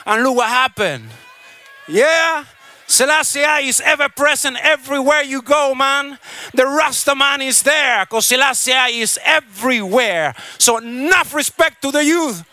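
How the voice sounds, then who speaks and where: A man's voice: extremely high pitch, expressive, a little slow, pushed and bright. A man from Chile working as a reggae festival MC, exclaiming and repeating phrases in reggae slang to hype the crowd over a stage microphone.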